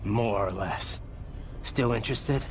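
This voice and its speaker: A man's voice: on the low side, slow, very noisy. An actor playing a brooding, wry cyborg swordsman in a video game, talking in terse phrases. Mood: wry, resigned.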